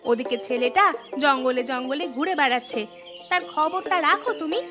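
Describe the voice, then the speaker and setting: A woman voicing a thrifty, nagging but soft-hearted village wife and mother in a cartoon. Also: high-pitched, moderate pace, music in the background, lightly acted. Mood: scolding. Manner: scolding, exclamatory. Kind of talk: cartoon voice acting.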